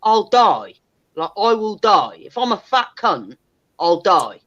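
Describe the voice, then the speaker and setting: A woman's voice: medium pitch, sing-song, slow, a bit bright, lo-fi sound. A woman, chatting candidly in a blunt, sweary, emphatic way. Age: 30s. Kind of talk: candid casual chat.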